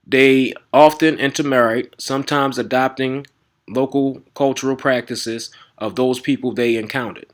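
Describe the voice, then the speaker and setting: A man's voice: medium pitch, a bit bright. Guy with talking through a history lesson, reads off notes, keeps going "all right?". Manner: talks informally, often asking "all right?"; reads text aloud haltingly with restarts. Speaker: video host. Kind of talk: casual lecture to viewers.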